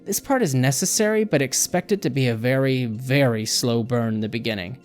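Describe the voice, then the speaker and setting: A man's voice: medium pitch, fairly quick. A man reading a scripted video game review as a voice-over narration. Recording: music behind the voice. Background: background music.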